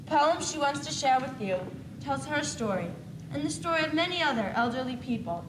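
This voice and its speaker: A woman's voice: high voice, expressive, fairly quick. A young teenage girl playing an earnest presenter, giving scripted narration in a school play. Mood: earnest, solemn. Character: earnest presenter speaking for the students. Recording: echoey, noisy 1980s school video recording.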